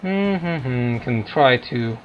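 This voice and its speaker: A man's voice: medium pitch, expressive, drawn-out words, highs cut off, slight background noise. A man giving casual gameplay commentary as a let's play host, thinking aloud as he goes. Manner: thinking aloud, muttering to himself. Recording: game music loud behind voice. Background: video game music.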